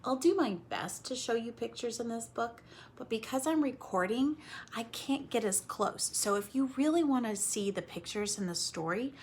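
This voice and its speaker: A woman with a slightly husky voice leading a read-aloud lesson for children, explaining things simply in an expressive, coaxing way and speaking to them directly. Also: a little high, moderate pace, a little hiss or hum. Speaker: teacher reading aloud to children.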